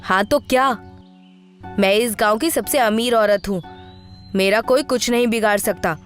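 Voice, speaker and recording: A woman voicing a greedy, bossy rich landlady in an animated story, with an imperious, brazen manner. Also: on the high side, normal speed, faint music behind, lightly acted. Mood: defiant. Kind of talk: animated moral story acting.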